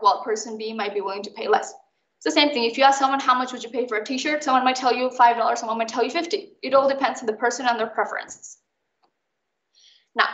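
A young woman from Mexico tutors students in a chatty, informal way, talking very fast in a fairly high voice. The recording is muffled and roomy, like an online call. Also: fairly bright tone. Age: young adult. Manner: chatty, explains with everyday examples. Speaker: student tutor leading an exam recap. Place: indoors, online video call.

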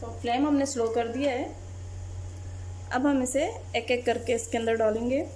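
A woman, narrating a home cooking recipe step by step in a calm voice-over as channel host. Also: a little high, moderate pace, slight background noise, poor audio.

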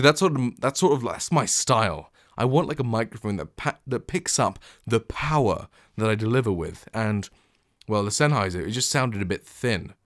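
A young man talking casually to camera in a small home studio, rambling and correcting himself as he goes, with a deep voice, close on a studio mic. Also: dry recording. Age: young adult. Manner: chatty, rambling, self-correcting. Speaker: vlogger comparing microphones. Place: small treated home studio with acoustic foam. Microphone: studio condenser and shotgun mics close up, reflection filter.

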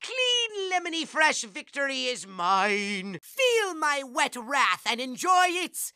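An actor voicing a maniacal, boastful little alien villain for a cartoon video game, ranting bombastically in a shrill, screechy voice. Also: sing-song, takes it slowly, pushed and bright, dry recording, clean studio sound, exaggerated voice. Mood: gloating, enraged.

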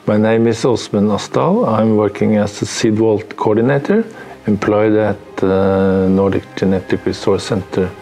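A man's voice: lowish voice, a little slow, mellow. A man working as a seed vault coordinator, explaining in a plain, matter-of-fact way during an interview.

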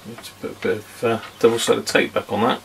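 Middle-aged male, deep and creaky, casual hobbyist demonstrating at a workbench, muttering unhurriedly. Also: little pitch movement, a little fast, slight background noise. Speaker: vintage radio hobbyist presenter. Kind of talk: casual hands-on demonstration commentary. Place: small indoor electronics workbench.

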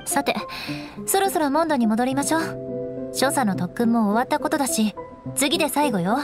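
Anime game noblewoman, young knight, high voice, proud, elegant, composed, music behind. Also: animated, normal speed. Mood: haughty, caring. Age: young adult. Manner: poised, elegant, haughty, instructing. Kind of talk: Japanese anime game voice acting.

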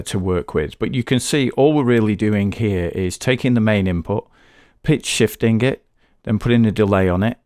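A man with a fairly low voice walks through a software task as a tutorial host. He explains each step methodically and without a script, in an instructive way. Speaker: tutorial host, game developer.

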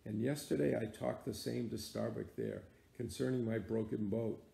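A man's voice: mid-range voice, a little slow, vocal fry, dark tone, reverberant. A man playing a grim, obsessed old sea captain who commands in archaic speech. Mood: muttering.

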